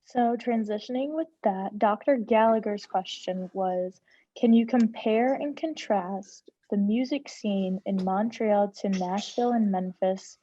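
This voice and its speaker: A woman's voice: mid-range voice, measured pace, mellow, no echo. A woman moderating a casual question-and-answer session over a video call, putting questions to a guest, with dull audio. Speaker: interviewer and Q&A moderator. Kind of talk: casual moderated interview, reading out audience questions.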